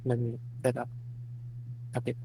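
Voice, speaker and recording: A man reading a fan story aloud in a plain, steady way with the odd slip. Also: medium pitch, a little slow, heavy background noise. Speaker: fanfic reader.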